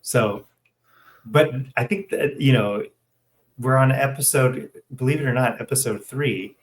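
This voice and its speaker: An American man co-hosting in casual, unscripted chat, speaking in a halting, rambling, joking way. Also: mid-range voice. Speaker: co-host.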